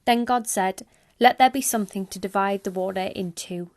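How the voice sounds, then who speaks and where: A woman's voice: mid-range voice, moderate pace, no room sound, studio quality. A woman giving a scripted reading of scripture. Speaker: volunteer scripture reader.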